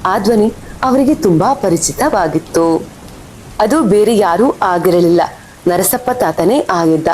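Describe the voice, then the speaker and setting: A woman's voice: expressive, unhurried, a little mellow, poor audio. A woman reading scripted horror story narration as the storyteller. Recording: faint rumble and rain behind voice.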